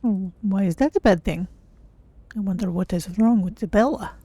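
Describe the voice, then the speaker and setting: A mellow, soft-edged woman playing a feline adventurer for a game stream. She is polite and curious, choosing measured, formal words as she talks through her exploration. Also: medium pitch, husky, no room sound, close to an everyday voice. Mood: thoughtful. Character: polite, curious female Khajiit cat-folk adventurer. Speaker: streamer voicing own game character. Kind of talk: in-character game playthrough commentary.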